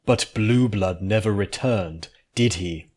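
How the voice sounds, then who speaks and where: A man voicing a regal female pony princess with a measured, imperious and solemn manner in a fantasy story. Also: lowish voice, a little mellow. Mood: stern.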